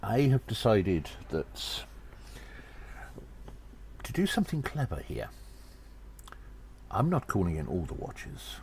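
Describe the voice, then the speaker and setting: A man voicing a gnome adventurer who earnestly narrates his exploits in an audio drama, with a theatrical, halting delivery. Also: lowish voice, big pitch swings, a little mellow, slight background noise, heavily acted. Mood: earnest, conspiratorial.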